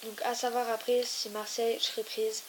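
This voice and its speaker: A woman's voice: on the high side, brisk, low-quality recording. Young woman chatting while gaming, hissy mic. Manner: rambling, teasing, trails off with repeated fillers. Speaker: gaming YouTuber. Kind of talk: casual let's-play chat. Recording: hissy home recording. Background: steady hiss.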